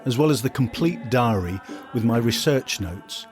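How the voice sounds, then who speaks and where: A man's voice: mid-range voice, expressive, moderate pace, over music. A man reading scripted narration as the host of a history documentary podcast.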